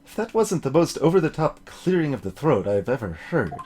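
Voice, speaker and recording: Casual male gamer with lively pitch. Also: a little fast, slight background noise. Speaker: let's player voicing game characters. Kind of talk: casual gaming commentary. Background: game music.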